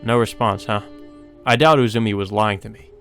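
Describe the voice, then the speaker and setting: A man's voice: fairly low pitch, fairly quick, over loud music. A man narrating a visual novel aloud as a let's play host, with brief asides of his own.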